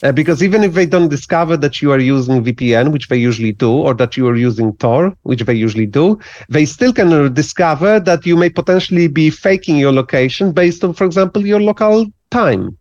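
A man, an English speaker, explaining things in a podcast interview in a halting way, full of repeated words and restarts. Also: a little high, darkish tone, no room sound, highs cut off. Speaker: guest.

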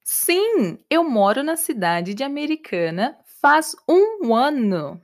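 A woman voicing an Irish woman who teaches English abroad, answering slowly and repeating herself carefully for learners. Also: sits high, very animated, full character voice. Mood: patient. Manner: slow, repetitive, carefully clear answers.

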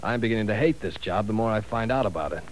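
A man's voice: fairly low pitch, animated, fast, lightly acted, rough recording. A man playing a conscience-stricken professor in an old radio drama, bitter and tense. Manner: bitter, tense, rising to outbursts. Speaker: teacher. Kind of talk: 1940s radio acting. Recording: faint hiss of an old radio recording.

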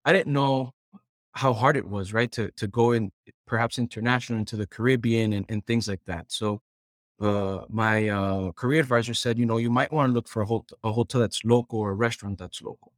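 A man's voice: lowish voice, fairly even intonation, a little fast, dry recording, studio quality. A man from Puerto Rico, a chef, casually telling stories as an interview guest and often voicing quoted remarks as he goes. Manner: conversational, quotes himself and others while recounting. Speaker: guest, chef and culinary director.

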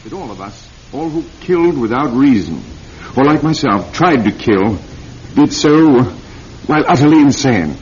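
An actor playing a proud, analytical titled surgeon in a classic radio drama, speaking formally and theatrically with pauses for emphasis. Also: male voice, medium pitch, animated, darkish tone, slight background noise, close to an everyday voice. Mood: grave, analytical. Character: proud, analytical titled British surgeon confined for attempted murder. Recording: muffled old radio recording.

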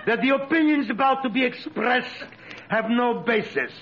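An actor playing a blunt, eccentric, comically rude Eastern European professor in a 1940s radio comedy, mock-courteous and deadpan. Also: male voice, very high-pitched, moderate pace. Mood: mock-grand. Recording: noisy, muffled old radio recording.

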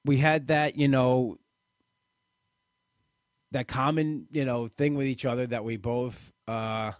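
A man in his late thirties sharing his weight-loss journey in a halting, personal way, often restarting and repeating words. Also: medium pitch, fairly even intonation, no echo, clean studio sound. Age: late 30s. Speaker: weight-loss program member. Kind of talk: personal testimonial talk.

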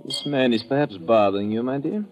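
An actor playing a powerful, menacing underworld boss with formal, haughty phrasing, in old radio drama style. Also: male voice, mid-range voice, darkish tone, natural voice. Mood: cold menace. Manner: formal, haughty, measured wording. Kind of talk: 1950s radio villain acting.

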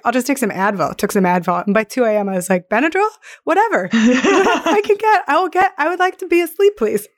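A woman in her thirties hosting a podcast, with a scratchy voice from laryngitis, chatting and laughing often. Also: mid-range voice. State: hoarse from laryngitis. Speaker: podcast host. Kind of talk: casual chat.

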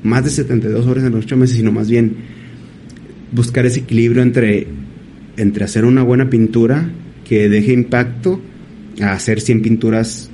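A man, a painter as guest, telling stories in a thoughtful, halting way. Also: mid-range voice, steady tone, mellow, a little hiss or hum. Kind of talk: casual interview storytelling.

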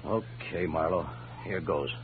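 An actor playing an oily, theatrical blackmailer in a 1940s radio crime drama. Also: male voice, low-pitched, unhurried, slight background noise. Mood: grudging. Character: smug, scheming male blackmailer who cringes under pressure. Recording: muffled, humming old radio recording.

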